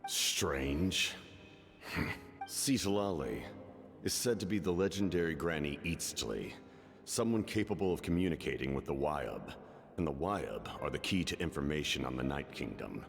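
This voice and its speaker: A cunning military commander from a fantasy game. His extremely deep voice swings expressively while staying formal and guarded, and it rings with long reverb over music. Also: expressive, full character voice. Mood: guarded, imposing. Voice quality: very deep, gravelly. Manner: measured, guarded, formal, imposing. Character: cunning, cold, commanding man, a wounded Fatui officer. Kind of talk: dramatic video game voice acting.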